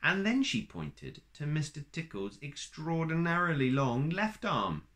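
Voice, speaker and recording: A man reading a children's picture book aloud in a slow, playful storytime manner, adding little asides to listeners. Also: a little high, very animated, a bit bright.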